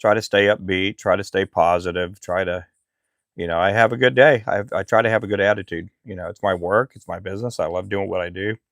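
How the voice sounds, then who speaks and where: A middle-aged man who makes fishing lures, chatting casually on his live stream in a relaxed, rambling way with lots of fillers. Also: deep voice, a little fast, no room sound, studio quality. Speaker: lure maker live streamer.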